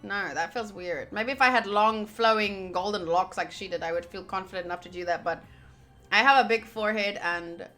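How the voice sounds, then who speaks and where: Woman, bright forceful tone, expressive, casual game playthrough narration, swears freely, soft background music. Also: mid-range voice, a little fast. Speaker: game streamer. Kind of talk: visual novel playthrough, reading text aloud with casual reactions.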